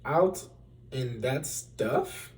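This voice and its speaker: A man's voice: mid-range voice, animated, very slow, a little mellow, recorded from a distance. A man voicing an earnest, worried young errand boy in a storybook, urgent and calling out. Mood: doubtful.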